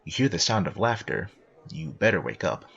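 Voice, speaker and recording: A man playing a playful second-person narrator, reading scripted comic narration in a husky voice. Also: deep voice, big pitch swings, moderate pace, fairly bright tone, dull audio, full character voice. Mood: scene setting.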